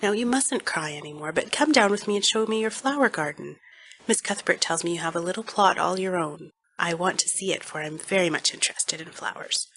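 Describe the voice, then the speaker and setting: A woman playing a kindly, gentle minister's wife with a soothing manner in an audiobook dramatization. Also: fairly low pitch, a little fast, slight background noise, poor audio. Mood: comforting. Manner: soothing, reassuring.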